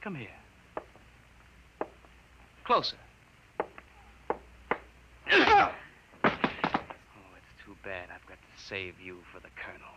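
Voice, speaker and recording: A vindictive young-empire lieutenant in an old radio play, sarcastic and sneering. His low voice has a sharp edge and swoops mockingly over faint recording noise. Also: huge pitch swings, slow, pauses often, exaggerated voice, poor audio. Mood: sneering, menacing. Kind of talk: 1950s radio drama acting.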